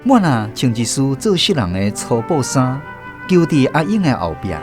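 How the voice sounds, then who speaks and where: A man narrating a radio drama as host, telling the story with expressive delivery. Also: mid-range voice, normal speed. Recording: music playing under the voice.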